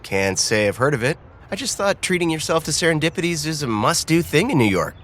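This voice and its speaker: An actor playing an easygoing romcom love interest in a naturalistic audio drama, casual and dry in manner. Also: male voice, a little high, big pitch swings, a little fast, fairly bright tone, slight background noise. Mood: overfull, easygoing. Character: easygoing romcom man on a sightseeing date.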